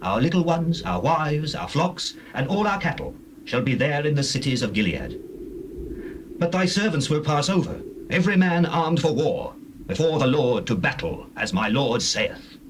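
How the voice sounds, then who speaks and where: A man's voice: a little high, sing-song, moderate pace. Humble bible tribe spokesman, formal, voice swoops up and down, faint cassette hiss. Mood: dutiful. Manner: deferential, formal. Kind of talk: dramatized King James Bible reading. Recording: noisy old cassette tape.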